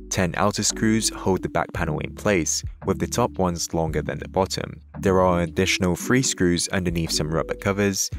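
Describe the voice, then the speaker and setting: A man narrating a scripted tech review in a measured, even way. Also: deep voice.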